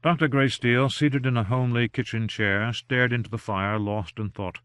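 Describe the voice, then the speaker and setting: A man reading a novel aloud in measured, even narration. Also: medium pitch, clear, resonant tone, no room sound, studio quality. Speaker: audiobook narrator. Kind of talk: scripted narration.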